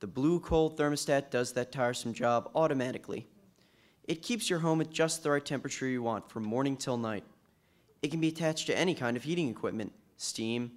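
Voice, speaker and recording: A man plays a heating expert in a vintage-style radio commercial. The voice is mid-range. The delivery is formal and cordial, a carefully scripted, persuasive sales pitch. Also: natural voice. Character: friendly male heating expert pitching a product. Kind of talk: old-time radio commercial.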